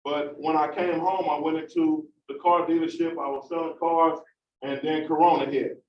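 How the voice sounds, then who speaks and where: A man speaks out with fervent, repetitive emphasis, his voice pitched high. He is caught from a distance in a roomy hall on a dull, muffled recording, with a faint hiss behind him. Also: flat delivery, normal speed. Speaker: formerly incarcerated community speaker. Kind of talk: impassioned panel remarks. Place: large meeting room.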